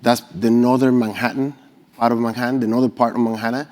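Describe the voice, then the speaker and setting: Middle aged dominican guy on a panel, spanish accent from the DR, medium pitch, telling his story unscripted. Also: level tone, fairly quick, a little mellow. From Dominican Republic. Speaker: panelist, business founder. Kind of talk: unscripted personal story to an audience.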